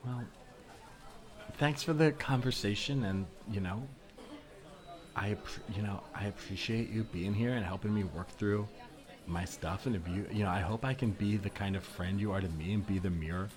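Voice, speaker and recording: Man playing a low-voiced, self-absorbed flirty woman. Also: normal speed, a crowd in the background, close to an everyday voice. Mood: distracted, sincere. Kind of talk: improvised comedy dialogue. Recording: noisy remote recording.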